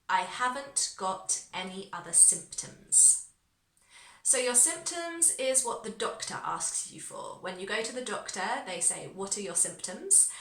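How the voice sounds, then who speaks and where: A woman teaching an online English lesson, encouraging and clear, saying phrases slowly for learners to repeat. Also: mid-range voice, pushed and bright, roomy sound.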